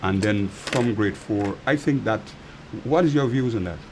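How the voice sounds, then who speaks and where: A man's voice: on the low side, unhurried, a little mellow, slight background noise. A man hosting an interview, who murmurs short replies and asks the guest questions. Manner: gives frequent short backchannels, asks questions. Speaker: interview host. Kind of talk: studio interview.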